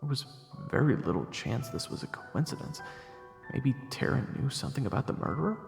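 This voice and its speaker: A man playing a brooding first-person narrator in a fantasy audio drama, telling the story in a grave, measured way. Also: mid-range voice, very animated, vocal fry. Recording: reverberant echo, music underneath.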